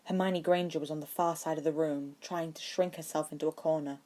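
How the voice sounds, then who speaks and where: A woman's voice: sits low, steady tone, brisk, a little mellow. A woman narrating a scripted story as an amateur audiobook reader.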